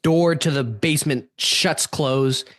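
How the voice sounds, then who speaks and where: A man's voice: a little high, takes it slowly, fairly bright tone, no room sound, studio quality. A man setting scenes as narrator of an improvised radio play, describing the action as it unfolds.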